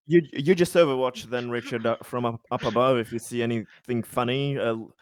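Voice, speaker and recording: Male player, mid-pitched, casual stop-start talk with repeated words, very dry recording. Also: fairly quick, no echo. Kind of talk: casual tabletop game chat.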